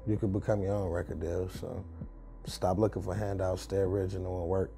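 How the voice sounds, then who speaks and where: Very mellow, gravelly low-voiced man. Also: flat delivery, brisk. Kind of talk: documentary interview, giving advice. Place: small music studio. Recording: faint music behind the voice.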